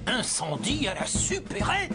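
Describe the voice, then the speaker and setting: A lively, swooping male fire chief, fussy and earnest. He talks in an official, slightly flustered cartoon manner over loud music. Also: very high-pitched, unhurried, full character voice. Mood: urgent, flustered. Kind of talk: animated children's cartoon dubbing.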